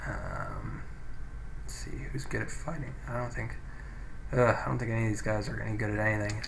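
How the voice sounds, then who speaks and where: A man's voice: on the low side, steady tone, fairly quick, vocal fry. A man giving casual let's play commentary, thinking aloud in an offhand way into a poor-quality microphone. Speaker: gaming video host. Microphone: cheap low-quality microphone. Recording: poor microphone, faint background noise.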